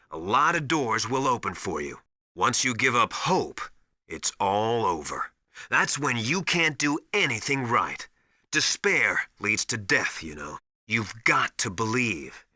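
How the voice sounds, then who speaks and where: Forceful, bright-voiced veteran soldier mentor. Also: male voice, medium pitch, no echo, clean studio sound, full character voice. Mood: grave, philosophical. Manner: authoritative, emphatic, deliberate instruction. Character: stern veteran soldier mentor, tough drill-master advising an operative. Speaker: military survival instructor. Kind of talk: scripted video game voice acting, instructional advice.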